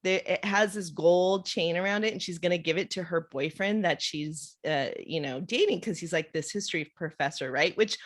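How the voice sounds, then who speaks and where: A woman's voice: lowish voice, normal speed, hardly any pauses. A middle-aged woman hosting a podcast, chatting casually and telling stories with lots of fillers. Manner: chatty, animated storytelling with frequent fillers and laughs. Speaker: podcast host. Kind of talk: casual interview chat.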